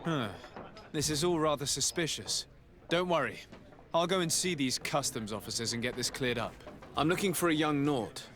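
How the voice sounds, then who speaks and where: Man playing a courteous, higher-pitched diplomat. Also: moderate pace, fairly bright tone, a little hiss or hum, lightly acted. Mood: composed. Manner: polite, formal. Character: courteous, composed young diplomat nobleman.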